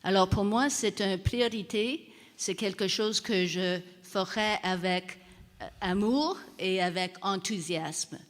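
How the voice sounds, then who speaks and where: A woman speaking as an election candidate in a debate, deliberate and earnest. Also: medium pitch. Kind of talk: debate statement. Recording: reverberant hall.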